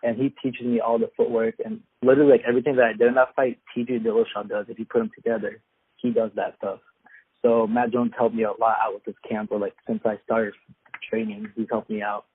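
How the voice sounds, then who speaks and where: A man's voice: on the low side, level tone, quick pace, dry recording. A young man from Afghanistan, a guest fighter, answering casually with lots of fillers. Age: young adult. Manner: loose, filler-heavy, plain-spoken. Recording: husky, tinny phone-like line.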